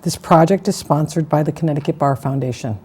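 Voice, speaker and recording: A woman conducts an oral history interview in a mellow, somewhat low voice. She chats easily with her guest and slips in gentle, teasing asides between her questions. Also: a little fast, slightly rough.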